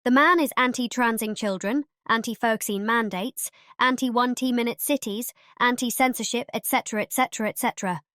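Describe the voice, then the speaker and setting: A woman's voice: fairly high pitch, fairly quick, few pauses, sharp tone, dry recording, clean studio sound. A woman voicing an insistent, conspiracy-minded online commenter reeling off complaints in a dramatic reading. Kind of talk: dramatic reading of social media posts.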